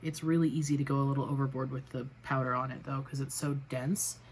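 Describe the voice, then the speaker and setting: A woman vlogger chatting casually through a makeup tutorial, a little raspy from a cold, narrating each step with self-deprecating remarks. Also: a bass voice, flat delivery, quick pace, a little mellow, slight background noise. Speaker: beauty vlogger.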